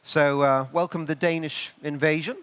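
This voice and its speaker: A man introduces a speaker on stage as host, in a measured, deliberate way. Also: fairly high pitch, fairly even intonation, a bit bright, smooth.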